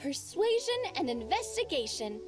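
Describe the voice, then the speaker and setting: A woman's voice: high-pitched, sing-song, moderate pace, distant mic. A woman voicing a breezy, friendly young woman in an anime-style game, with music behind.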